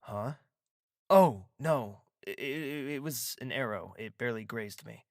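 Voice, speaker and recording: Male actor as domineering prince, mid-pitched, imperious, clipped, guarded, audio drama, dry recording. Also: animated, a little slow, no room sound. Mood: soft, concerned. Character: proud, domineering prince with a guarded soft side.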